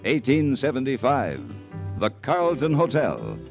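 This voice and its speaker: A man announcing an old-time radio western in a dramatic, measured style. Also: mid-range voice, wildly swooping pitch, gravelly, muffled recording. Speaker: program announcer. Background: loud guitar theme music.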